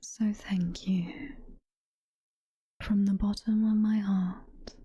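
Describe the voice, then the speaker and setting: British demon mommy girlfriend acted by a woman, doting and possessive, very breathy whisper, close dry mic, tender ASMR roleplay. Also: medium pitch, fairly even intonation, dry recording, dull audio, exaggerated voice. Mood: tender, grateful. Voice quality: breathy, soft-spoken whisper. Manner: slow, tender, coaxing. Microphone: close ASMR microphone.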